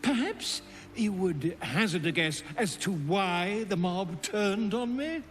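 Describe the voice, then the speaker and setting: A man's voice: high voice, expressive, slow, fairly bright tone, faint music behind, heavily acted. A man playing an eloquent, courteous scholar in a video game, with precise, florid and dramatic phrasing. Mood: courteous, intrigued. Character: eloquent male scholar, courteous, theatrical, earnest. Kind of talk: cinematic video game acting.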